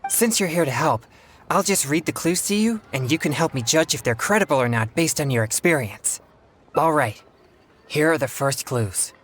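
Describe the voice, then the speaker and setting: An actor playing a polite, earnest young male exorcist in a video game, speaking in formal, courteous, measured phrases. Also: fairly high pitch, expressive, a bit bright, slight background noise. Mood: earnest, pondering. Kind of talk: scripted video game dialogue.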